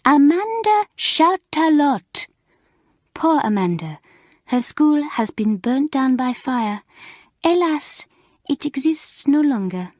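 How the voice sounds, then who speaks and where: A woman voicing an excitable schoolmistress with a high, emphatic, scolding manner. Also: slow pace, no room sound, studio quality, heavily acted. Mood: fussy. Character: excitable middle-aged French schoolmistress, fussy.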